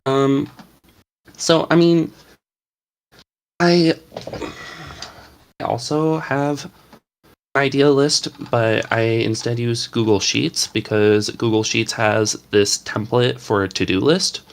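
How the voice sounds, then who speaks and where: A man's voice: mid-range voice, steady tone, takes it slowly, creaky, pauses often, a little hiss or hum. A man script writer talking casually and a little hesitantly about his idea lists. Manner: hesitant, with stumbles and fillers. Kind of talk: casual chat.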